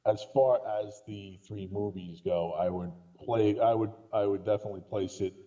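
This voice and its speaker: A man's voice: on the low side, steady tone, moderate pace, dark tone. A man talking casually about films as a podcast guest, conversational and opinionated. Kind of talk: casual film discussion. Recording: dull lo-fi voice recording.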